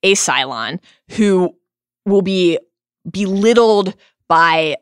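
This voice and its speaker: A woman's voice: fairly low pitch, lively intonation, slow, clear, resonant tone, no echo, studio quality. A woman co-hosting a podcast, chatting casually about pop culture in an enthusiastic, emphatic way. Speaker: podcast co-host.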